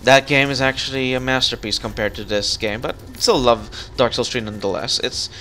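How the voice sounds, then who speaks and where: A man's voice: mid-range voice, brisk, pushed and bright, a little hiss or hum, poor audio. A man chatting casually through co-op gameplay as he leads, jokey and often thinking aloud. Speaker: gamer leading a co-op session.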